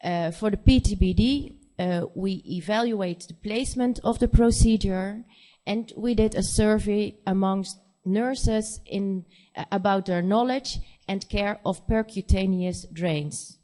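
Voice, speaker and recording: A woman with a Dutch accent, a nurse practitioner giving a conference presentation in English, her second language, speaking haltingly with many fillers. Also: mid-range voice, dark tone, rough recording. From Netherlands. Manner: hesitant, halting speech with frequent fillers.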